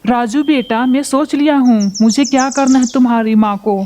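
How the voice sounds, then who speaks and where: A woman's voice: high voice, fairly even intonation, moderate pace, dark tone, slight background noise, natural voice. Cartoon crow dad, greedy and scheming, lectures his son with scorn, woman voices him. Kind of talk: cartoon voice acting.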